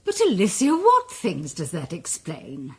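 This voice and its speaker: A bewildered lady in a radio mystery. Her high, very breathy voice moves slowly and swoops widely in pitch. She sounds puzzled and astonished. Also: wildly swooping pitch, darkish tone, heavily acted. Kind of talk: radio drama acting.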